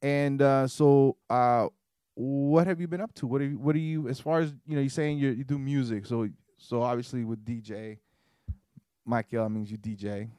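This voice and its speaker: A man's voice: medium pitch, fairly even intonation, moderate pace, warm tone, clear, resonant tone, no room sound, studio quality. A man in his forties co-hosting a podcast, chatting casually in a rambling, joking way. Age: 40s. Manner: rambling, joking, many restarts. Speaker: podcast co-host.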